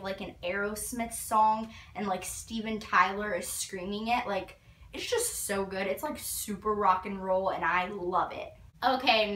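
A teenage girl talks through an album in an eager, gushing way, with "like" in nearly every sentence. Her voice is bright and forward. She sits a little back from the camera in her bedroom, so the sound is roomy. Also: mid-range voice, a little hiss or hum. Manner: gushing, filler-heavy with frequent "like". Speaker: vlogger. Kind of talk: casual album review. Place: bedroom, indoors. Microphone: fixed camera a few feet away. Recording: roomy, slightly distant.

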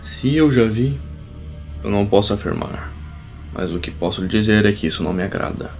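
A man playing a stern, haughty village priest in an audio drama, formal and measured. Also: fairly low pitch, lively intonation, darkish tone, soft background music. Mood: grave.

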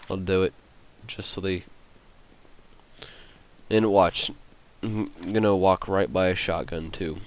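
Young man, hoarse, slow-talking, wry, gameplay commentary, lowish voice. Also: steady tone. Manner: laid-back, wry, talks to himself while playing. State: losing his voice. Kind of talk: casual let's play commentary.

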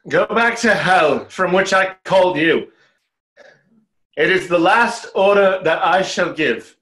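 A man's voice: sits high, slow, bright, forceful tone, exaggerated voice, low-quality recording. A young man playing a proud, remorseful conjurer in a play reading, earnest and dramatic. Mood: commanding. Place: small room, indoors.

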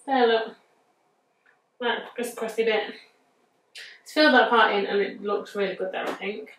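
A woman in her thirties with a northern English accent talks slowly and casually through a nail tutorial, musing aloud as she works. Her voice sounds roomy, picked up from a little way off the mic in a bright indoor studio. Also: mid-range voice, fairly even intonation, recorded from a distance. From England. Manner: chatty, easygoing, thinking aloud. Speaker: nail artist demonstrating a design. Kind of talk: casual step-by-step tutorial talk.

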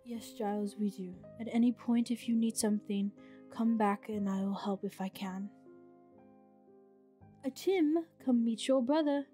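A woman playing a commanding, scheming goddess mother with a measured, imperious delivery in a fantasy game roleplay. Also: on the high side, dark tone, soft background music, lightly acted. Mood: persuasive.

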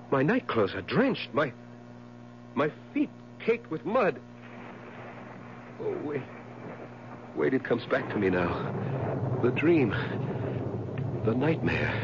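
A tormented widower, muffled old radio. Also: male voice, medium pitch, exaggerated voice. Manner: overwrought, halting, brooding, theatrical. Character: tormented haunted widower sliding into madness. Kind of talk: 1970s radio drama acting. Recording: muffled, noisy 1970s radio broadcast with hum.

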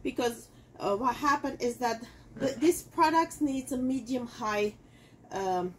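A woman's voice: on the high side, unhurried, a little hiss or hum, rough recording. A middle-aged woman, a native Armenian speaker, chatting casually through a cooking demo in her home kitchen, with loose phrasing and many fillers. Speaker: cooking show host selling kitchenware.

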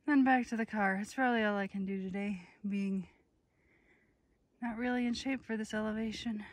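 A woman's voice: mid-range voice, steady tone, normal speed, no room sound. A middle-aged woman from Minnesota vlogging casually to a phone held at arm's length, chatty and self-amused, rambling as she goes. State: out of breath at altitude. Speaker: travel vlogger. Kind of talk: casual vlog to camera. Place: in a car, then outdoors on snowy ski trails.

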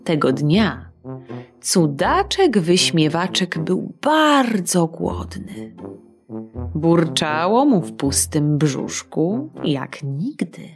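A woman narrating a children's audiobook in a playful, expressive way. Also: lowish voice, drawn-out words, over music. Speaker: storyteller.

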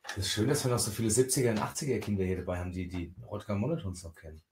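Deep-voiced teasing man reading chat. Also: animated, very fast, darkish tone. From Lower Franconia. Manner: teasing, reads viewer comments aloud. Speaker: co-host reading live chat. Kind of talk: joking live-stream banter. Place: home craft room, indoors. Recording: roomy echo, faint noise.

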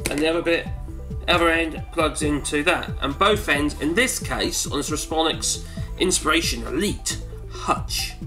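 Wheezy guy in his 40s chatting thru a home demo, bit high voice, music going under it. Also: moderate pace, hardly any pauses. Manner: rambling, chatty, self-correcting. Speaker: home video maker. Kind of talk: casual how-to demonstration. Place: cluttered home study, indoors.

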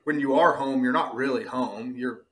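Male podcast host, mid-pitched, casual and slangy, earnest motivational delivery with emphatic repetition. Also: moderate pace, a bit bright. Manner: earnest, emphatic, repeats points, slangy with "bro" and "dude".

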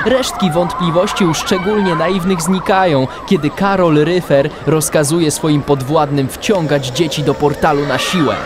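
A man's voice: a little high, steady tone, normal speed, hardly any pauses, noisy background. A man reading dramatic scripted narration for a children's audio drama. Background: loud music.

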